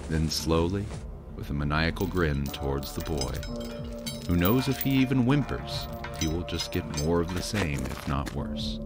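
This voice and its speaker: An actor playing a haunted adventurer who tells his story in a slow, brooding, dramatic way for an audio drama. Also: extremely low pitch, lively intonation. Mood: grim. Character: haunted young adventurer recounting a childhood dream. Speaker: character narrator in an audio drama. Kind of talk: dramatic first-person storytelling. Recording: dramatic music under the voice.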